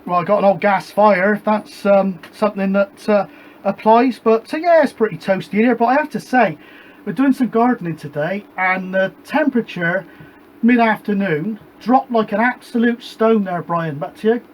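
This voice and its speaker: A man's voice: sits high, moderate pace, slight background noise, low-quality recording. A British man chatting casually on a CB radio net from his home shed, rambling and handing each turn back to the others. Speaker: CB radio operator and show host. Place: home shed radio room with a gas fire.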